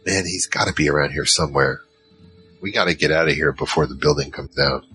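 Guy doing a world-weary gunfighter telling his tale, super low voice with an edge, faint music. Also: fairly quick, sharp tone, heavily acted. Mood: urgent. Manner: grim, terse, world-weary. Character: battle-worn male gunfighter recounting his grim story. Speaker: narrator and lead character. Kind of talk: first-person noir-like audio drama narration.

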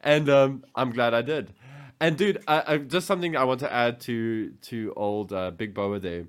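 A man's voice: medium pitch, normal speed, clean tone. A man co-hosting a casual chat about toys in an enthusiastic manner. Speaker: podcast co-host and toy collector.

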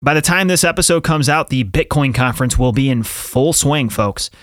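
A man's voice: medium pitch, lively intonation, a bit bright, no echo, studio quality. Brash American man reading ads. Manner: brash, profane, fast ad-read delivery. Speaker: podcast co-host. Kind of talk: casual podcast chat with ad reads.

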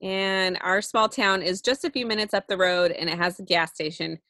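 A young woman co-hosting a relaxed chat, talking straight to her listeners and laughing easily. She sounds worn out after a long workday. The sound is dry and close. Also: mid-range voice, normal speed, no echo. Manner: chatty, laughs often, addresses listeners. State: tired at end of workday. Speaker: podcast co-host. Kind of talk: casual chat.

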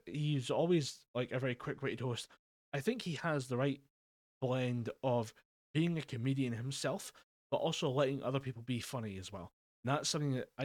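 Man, young adult, rambling storyteller, mid pitch, dry close sound. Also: no room sound. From Scotland. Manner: rambling storyteller, frequent swearing and laughing. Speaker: podcast co-host. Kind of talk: casual chat. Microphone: home mic over a voice call.

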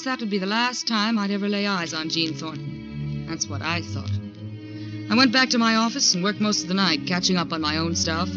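A woman's voice: mid-range voice, lightly acted. An actress playing an ambitious, defiant young woman in advertising who tells her own story in a 1940s radio drama. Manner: dramatic, intense first-person storytelling. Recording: dull 1940s radio recording with music.

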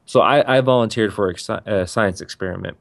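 Low-voiced man in rambling casual chat. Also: a little fast, vocal fry. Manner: rambling, restarts sentences often.

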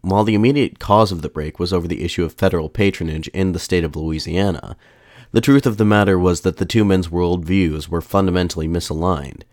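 A man hosting a podcast, narrating history in a measured, formal way, his voice slightly off after a mild illness. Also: deep voice, a little mellow, no room sound, studio quality. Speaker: podcast host.

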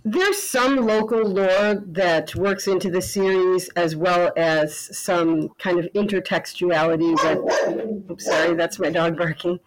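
A woman author talking as a podcast guest, thoughtful and measured, now and then pausing on fillers. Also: fairly low pitch, background noise. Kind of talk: casual interview chat.

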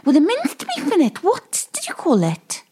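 A woman's voice: extremely high pitch, huge pitch swings, normal speed, mellow. A woman playing a chirpy, matter-of-fact TV producer in a comedy sketch.

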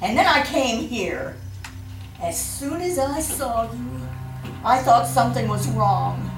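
A woman's voice: on the high side, animated. An actress playing a determined, anxious young woman in an old-time radio drama, speaking earnestly and pointedly. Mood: accusing, distressed. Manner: deliberate, earnest, pointed questioning. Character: determined, anxious young woman seeking her missing sister. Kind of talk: old-time radio acting before a live audience. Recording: echoey live stage, distant mic, faint music.

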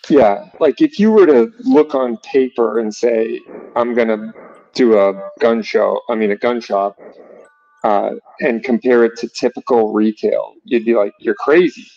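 A man's voice: medium pitch, unhurried, gravelly, dark tone, no echo, muffled recording. A man chatting casually as an interview guest, explaining things and acting out bits of imagined dialogue. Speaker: gun shop owner, podcast guest.

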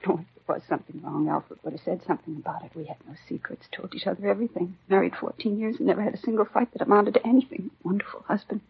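An actress playing a shaken, devoted American housewife just widowed, speaking politely in short sentences in a 1950s radio drama. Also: female voice, medium pitch, fairly even intonation, racing, few pauses. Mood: fragile, composed. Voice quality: husky. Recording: dull 1950s radio recording.

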